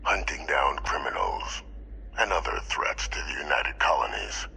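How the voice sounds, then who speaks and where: Very deep, scheming older villain. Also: male voice, expressive, gravelly, bright, forceful tone, a little hiss or hum, exaggerated voice, poor audio. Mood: measured, veiled menace. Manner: measured, formal, persuasive. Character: scheming older male villain, smooth, unrepentant, self-justifying. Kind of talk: video game character dialogue.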